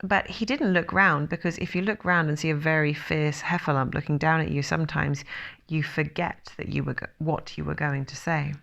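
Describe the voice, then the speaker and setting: A woman narrating a children's storybook in a measured, expressive storytelling manner. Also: deep voice, creaky, lo-fi sound. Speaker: audiobook reader.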